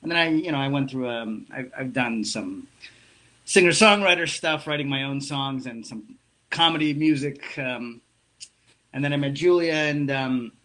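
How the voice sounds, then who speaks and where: Bright-voiced man, halting and searching. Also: mid-range voice. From Russia. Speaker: musician guest. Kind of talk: casual interview chat.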